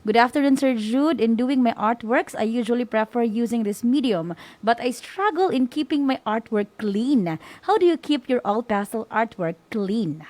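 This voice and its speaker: A woman's voice: on the high side, lively intonation, normal speed, few pauses, a little mellow, no room sound. A woman with a Filipino accent co-hosting in a chatty way, reading out questions and mixing local words with English. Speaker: co-host of a school-on-the-air program.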